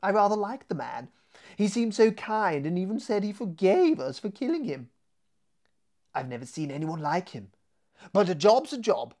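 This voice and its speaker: A man's voice: sits high, big pitch swings, moderate pace, darkish tone, voice pushed up. A man voicing a proud, matter-of-fact Roman general in a children's storybook, with a clipped, pompous manner. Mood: brisk, matter-of-fact.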